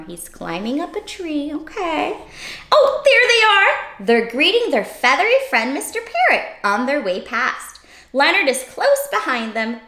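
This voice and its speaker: Animated, high-voiced young woman storyteller. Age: young adult. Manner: animated, exclamatory, expressive with gasps and questions. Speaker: children's read-aloud host. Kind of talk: interactive picture-book storytelling for young children. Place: small cozy indoor room. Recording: roomy indoor echo.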